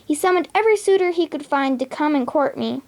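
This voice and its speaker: Storybook princess, young, spirited and romantic, high earnest voice played by a young female reader. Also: lively intonation, quick pace, darkish tone.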